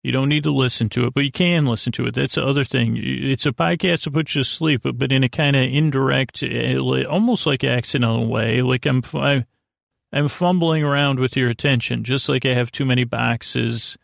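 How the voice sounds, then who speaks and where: A man hosting a sleep podcast, rambling in a halting, meandering way with self-deprecating, mildly silly wordplay. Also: medium pitch, creaky, dry recording, studio quality. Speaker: podcast host. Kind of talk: rambling bedtime monologue, loose storytelling.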